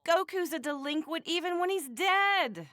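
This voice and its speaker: A woman's voice: extremely high pitch, expressive, moderate pace, a bit bright. A woman playing a feisty, strict, fretful mother in an anime game, emphatic and folksy. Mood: exasperated, puzzled. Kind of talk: English anime game dub banter.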